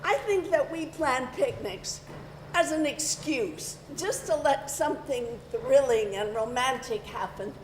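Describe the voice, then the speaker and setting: A woman's voice: high-pitched, expressive, a little slow. An actress playing a wistful, romantic older small-town woman in a stage drama. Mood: wistful, excited. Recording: echoey, noisy archive play clip.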